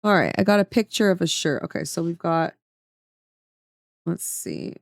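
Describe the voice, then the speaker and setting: A woman talks to her stream chat as she draws, her voice moving lively up and down in pitch. She often trails off mid-sentence as her attention goes back to the work. The sound is dry and close, with no room echo. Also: normal speed, vocal fry. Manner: chatty, talks to viewers while working, trails off mid-sentence. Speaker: streamer drawing emotes. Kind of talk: casual chat with chat while drawing.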